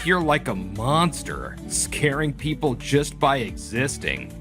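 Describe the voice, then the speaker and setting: A man's voice: medium pitch, very animated, slow, over music. A man playing an arrogant, condescending rich young groom in a manga dub. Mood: contemptuous.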